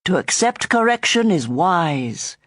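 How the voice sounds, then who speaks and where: A woman's voice: lowish voice, sing-song, takes it slowly, no echo, studio quality. A woman giving a dramatized reading of scripture as an audio Bible reader. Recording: prominent background music. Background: music.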